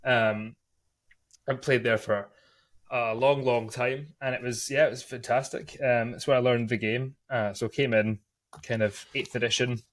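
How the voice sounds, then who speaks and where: A man's voice: mid-range voice, steady tone, unhurried. A man chatting on a podcast, hesitant with many ums, and self-mocking about his losses. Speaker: podcast guest. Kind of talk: casual chat.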